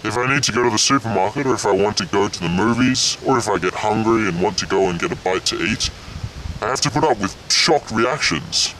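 A man doing a low disguised-sounding voice. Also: fairly quick, distant mic, over a noisy crowd, lightly acted, poor audio. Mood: deadpan. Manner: deadpan, matter-of-fact. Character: man whose natural voice sounds like a disguised anonymous-interview voice.

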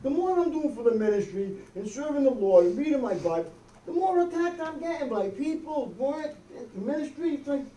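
A man's voice: very high-pitched, expressive, a little fast, warm tone. A middle-aged male pastor preaching a sermon in a conversational style, often checking in with "okay?" and "amen?". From United States. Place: small church hall with wood-paneled wall. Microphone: far camera, no visible mic. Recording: echoey room from far off.

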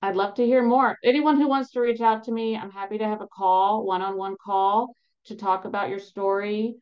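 Thirties woman, webinar presenter, encouraging and chatty, slightly muffled call audio. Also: medium pitch. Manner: upbeat, encouraging, with many fillers and restarts. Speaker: advocacy program staff presenting a webinar. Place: home room behind a virtual background. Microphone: laptop webcam mic on a video call.

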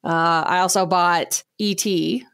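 A woman's voice: lowish voice, fairly even intonation, takes it slowly, a bit bright, smooth, dry recording. Woman podcast host, mid voice, dry close sound, easy chatty quips. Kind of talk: casual chat.

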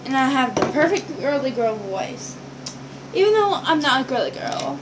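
High-pitched young teenage girl vlogging. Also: sing-song, moderate pace. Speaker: vlogger showing toy builds. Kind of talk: casual rambling vlog chat to camera. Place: small bedroom, indoors. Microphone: built-in device mic at arm's length. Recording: noisy amateur webcam audio.

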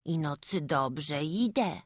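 Kobieta grająca chłopskiego chłopca z gwarą. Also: female voice, sits low, lively intonation, takes it slowly, no room sound, clean studio sound, exaggerated voice. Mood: musing. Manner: rustic village dialect, earnest. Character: small peasant boy, humble and wary. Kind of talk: audiobook acting.

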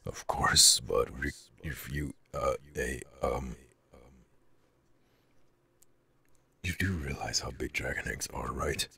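A man voicing a huge, shy but proud dragon in an ASMR roleplay, with a growling, raspy voice and hesitant, stammering delivery. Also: unhurried, pushed and bright, full character voice. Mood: flustered, stammering. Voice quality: very deep, gravelly growl with breathy rasp.

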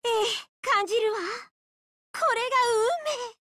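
A woman's voice: extremely high pitch, steady tone, measured pace, pushed and bright, no echo, studio quality, full character voice. A woman voicing a graceful, dreamy bird-of-paradise girl for an anime mobile game, with an elegant, theatrical and rapturous delivery. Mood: delighted, awed. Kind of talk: Japanese anime mobile game character lines.

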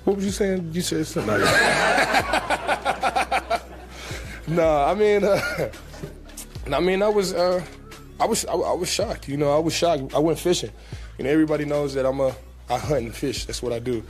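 A young man, a pro football player, answering reporters at a press conference in a relaxed, casual way, with many fillers and repeated words. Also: on the high side, moderate pace, pauses often, music in the background. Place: team press room, indoors. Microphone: table mic in front.

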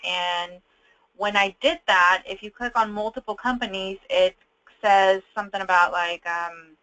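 A woman on a conference call casually explaining a software problem as a trainee, informal and a little hesitant. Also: medium pitch, steady tone, unhurried, rough recording. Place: conference call with screen share.

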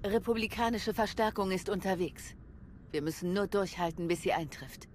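A woman's voice: mid-range voice, normal speed, darkish tone, a little hiss or hum, natural voice. A woman playing a resolute Jedi commander in a German game dub, composed and authoritative.

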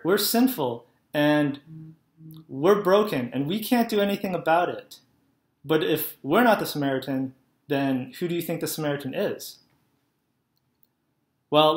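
A young man giving a children's sermon from his living room, telling a Bible story simply and often checking in with "right?". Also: fairly high pitch. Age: young adult. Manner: friendly, simple storytelling, asks "right?" to kids. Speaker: Sunday school teacher. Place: living room at home, indoors. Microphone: laptop webcam, head and shoulders. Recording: echoey room.

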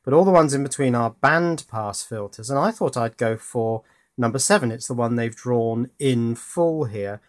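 Male electronics tutorial host, mid-pitched, informal, thinking aloud at the workbench. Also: moderate pace. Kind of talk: casual hands-on electronics tutorial.

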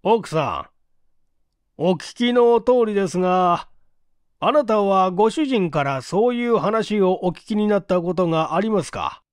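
Cool, probing police chief for mystery fiction, acted by a male reader, formal, deliberate, dry sound. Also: high voice, lively intonation, no room sound. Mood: grave, formal.

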